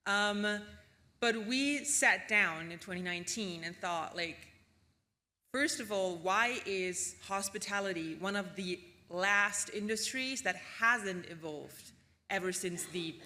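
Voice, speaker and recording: A woman's voice: medium pitch, pushed and bright. A woman from Austria, giving a casual, chatty keynote talk with frequent ums and tag questions. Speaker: keynote speaker. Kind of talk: conference keynote presentation.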